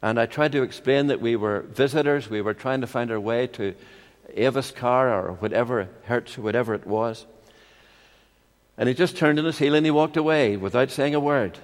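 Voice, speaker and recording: An older man with a Northern Irish accent preaching a sermon in a measured, expository way, with rhetorical questions and personal anecdotes. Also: mid-range voice. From Ireland. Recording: long hall reverb.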